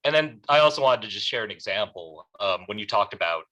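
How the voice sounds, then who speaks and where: A man's voice: on the low side, lively intonation, quick pace, bright, forceful tone, low-quality recording. A man speaking conversationally as an audience member, asking and commenting in a tentative way with hesitant phrasing.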